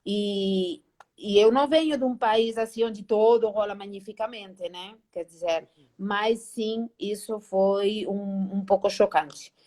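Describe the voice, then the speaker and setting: A middle-aged woman from Spain with a Spanish accent, a guest on a video-call interview, telling animated stories and acting out old conversations. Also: mid-range voice, measured pace. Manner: animated, acts out past dialogues, frequent "né?" tags. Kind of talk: casual storytelling interview.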